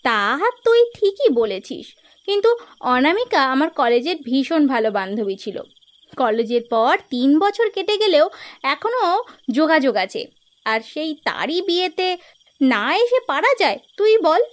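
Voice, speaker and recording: A woman in her twenties voicing a cautious, sensible young woman in an animated ghost story. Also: sits high, a little slow. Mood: pleading. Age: 20s. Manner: cautious, persuasive.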